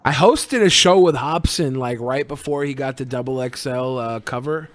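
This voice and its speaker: A man's voice: mid-range voice, normal speed, no echo. A man co-hosting a podcast, bantering casually and reading out chat messages in a crude, jokey way. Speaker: podcast co-host.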